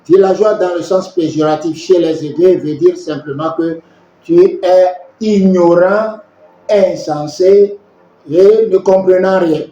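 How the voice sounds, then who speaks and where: Man, preacher, high voice, slow delivery, echoey room, dull recording. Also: warm tone. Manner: slow, measured preaching with pauses for translation. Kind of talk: sermon with interpreter. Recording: echoey, muffled church recording.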